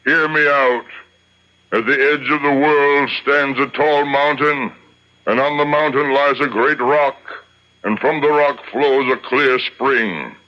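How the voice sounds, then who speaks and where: An actor playing a revered old rabbi and exorcist, speaking in a measured, incantatory 1970s radio drama style. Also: male voice, medium pitch, close to an everyday voice. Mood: solemn, incantatory. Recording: dull, muffled old radio broadcast.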